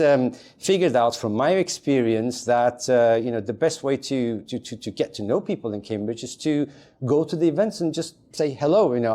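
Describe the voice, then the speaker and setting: A man who grew up in Turkey talks as a podcast guest with a mellow, dark-toned voice. He rambles loosely, circling back with fillers and restarted phrases, at an ordinary middle pitch. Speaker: podcast guest, community advisor. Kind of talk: casual chat.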